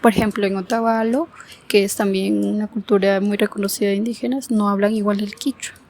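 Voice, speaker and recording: A woman's voice: medium pitch, flat delivery, a little fast, darkish tone. Proud young Ecuadorian woman student. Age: young adult. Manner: proud, plain-spoken, hesitant fillers like "este". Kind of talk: casual interview answers.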